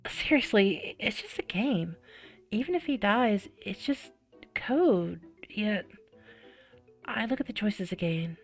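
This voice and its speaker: An anxious, flustered high schooler narrates a visual novel from the inside, read by a woman. The delivery is dramatic and unhurried, with middling pitch. Soft music sits underneath. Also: animated. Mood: torn, anxious. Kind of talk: dramatic first-person story narration.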